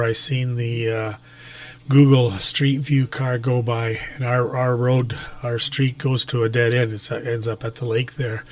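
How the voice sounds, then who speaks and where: A man in a casual ham radio chat, telling things in a hesitant, meandering way. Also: medium pitch. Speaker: amateur radio operator.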